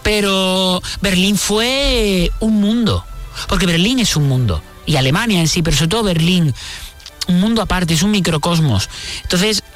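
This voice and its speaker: A woman's voice: on the low side, lively intonation, normal speed. Candid woman in a radio interview, music behind. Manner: candid, reflective, emphatic repetition.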